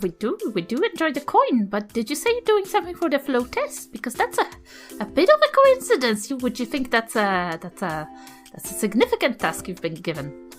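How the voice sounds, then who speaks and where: A woman's voice: sits high, wildly swooping pitch, fairly quick, soft background music. A woman voicing a kindly, chatty old granny adventurer, fussing and sweetly polite in a tabletop game. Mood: sweet, curious.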